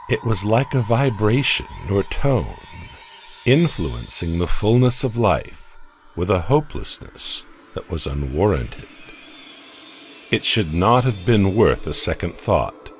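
Deep-voiced guy narrating a horror comic, slow and expressive, faint hiss behind. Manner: slow, deliberate, dramatic.

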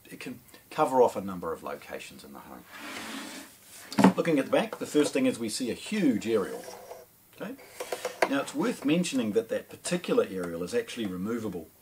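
A middle-aged man with a New Zealand accent presents a product unboxing. His voice sits somewhat low, and he explains things in a measured way. A faint background noise runs under the recording. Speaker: audio shop presenter. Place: indoor shop demo room with shelves and speakers.

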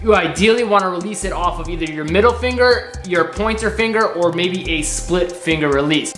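A man's voice: sits high, fairly quick, a bit bright. Guy in his 30s walking thru basketball drills from his couch, roomy sound, bit of music behind. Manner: upbeat, demonstrating drills with a ball while seated. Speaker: basketball coach. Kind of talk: instructional drill demo. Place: living room, seated on couch. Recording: echoey room with faint music.

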